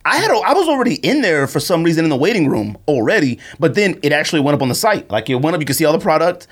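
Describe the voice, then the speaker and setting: A man co-hosting a podcast in casual, slangy, animated chat, telling stories and often amused. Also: fairly high pitch, racing. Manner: animated storytelling, frequent laughter, slangy. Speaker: podcast co-host. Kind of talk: casual chat about sneakers.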